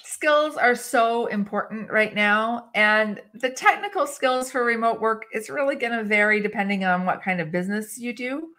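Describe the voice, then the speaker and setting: A woman giving HR advice in a live webinar discussion, talking in an animated way, acting out quoted dialogue and laughing often. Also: on the high side, moderate pace, hardly any pauses. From English-speaking country. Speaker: HR expert guest.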